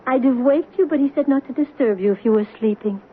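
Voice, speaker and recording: An actress playing a kind, widowed mother in an old radio drama, gentle in manner with nervous little laughs. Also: sits high, quick pace, narrow, tinny audio, natural voice. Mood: gentle, anxious. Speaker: Celia, a mother. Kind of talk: 1970s radio drama acting. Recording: old radio broadcast, phone-thin with hiss.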